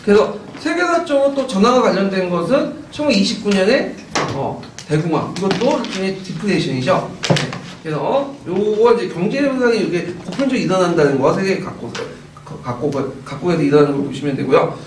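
A man in his forties gives an animated, chatty lecture in a classroom. His voice sits high and swings widely, and it comes across slightly hoarse. He is picked up from afar, with the room echoing around him. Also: fairly quick, far from the mic, noisy background. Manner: animated, chatty, gestures while explaining. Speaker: lecturer for teacher-exam prep. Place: classroom with whiteboard. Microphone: far camera.

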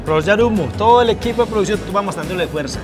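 High-voiced, fast-talking Colombian man presenting. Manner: exclamatory, folksy. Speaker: TV show host. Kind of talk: lively presenting and chatting. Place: busy indoor coffee trade fair booth. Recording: music behind the voice. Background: music and fair noise.

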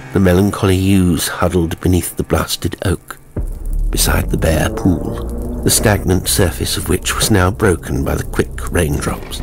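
A man narrating a horror story in a measured, dramatic manner. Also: deep voice.

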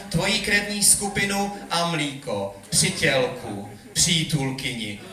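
Echoey high-voiced man performing slam poetry. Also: bright, forceful tone. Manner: rhythmic, wordplay-driven, theatrical delivery. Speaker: slam poet competing on stage. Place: live on stage in a club. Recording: live club hall echo, audience noise.

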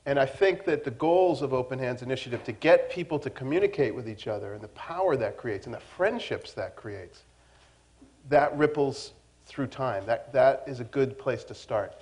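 A man's voice: mid-range voice, animated, moderate pace, a little mellow. A middle-aged man answering a question on a panel through a lapel mic, in a conversational and assured way. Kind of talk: panel discussion.

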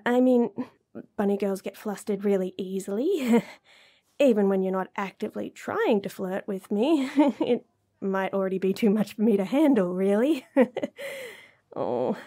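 A woman's voice: mid-range voice, lively intonation, measured pace, gravelly, mellow. A woman playing a shy, smitten young bunny girl in a romantic audio roleplay, stammering and giggly as she rambles bashfully.

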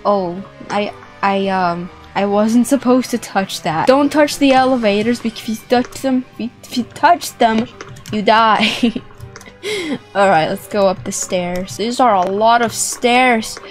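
High-pitched young woman, excitable game commentary. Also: unhurried. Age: young adult. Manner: animated, exclamatory, stutters and repeats words for effect. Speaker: gaming video host. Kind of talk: excited playful gameplay commentary. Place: small indoor room set up for streaming. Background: background music.

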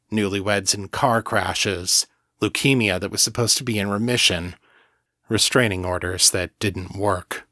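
Male fiction narrator, slightly low, wry measured delivery, dry studio-like sound. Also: a bit bright, no room sound. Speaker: audiobook narrator. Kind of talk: scripted first-person fiction narration.

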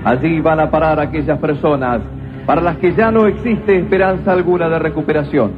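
A man narrating a radio comedy drama in a grave, deadpan way. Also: fairly high pitch, brisk. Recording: muffled radio broadcast with music.

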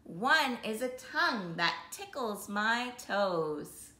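A woman in her thirties hosting an interactive storytime, reading a picture book expressively and clearly for young children. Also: mid-range voice, sing-song, slow, bright, forceful tone. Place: small living room, seated on a sofa. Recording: roomy indoor sound.

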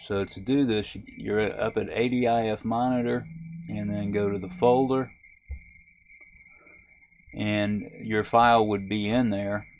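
A man hosting a ham radio tutorial, talking through a software setup in a relaxed, halting way with frequent fillers. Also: fairly low pitch, a little hiss or hum. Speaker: ham radio video host. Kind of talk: casual screen-recorded tutorial. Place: camper.

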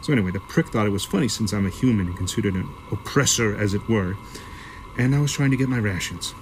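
Guy acting a jaded sci-fi organ dealer, lowish voice, gruff and sweary, bit of noise behind. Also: fast, natural voice, rough recording. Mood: matter-of-fact, jaded. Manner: gruff, profane, offhand storytelling. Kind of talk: sci-fi audio drama acting.

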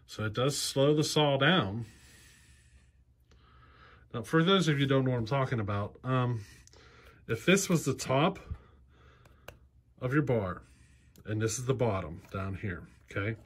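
A man in his forties talks casually in a cluttered garage workshop, his voice sitting at an ordinary middle pitch. He explains step by step in a plain-spoken, practical way, dropping in ums and you-knows. The sound comes from a handheld camera held close to him. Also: lively intonation, unhurried, pauses often. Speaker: chainsaw channel host.

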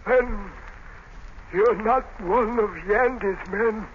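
A man playing a haunted, despairing old captive sea captain, halting and ominous, in 1930s radio drama style. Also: sits high. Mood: haunted, fearful. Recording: muffled, noisy 1930s radio recording.

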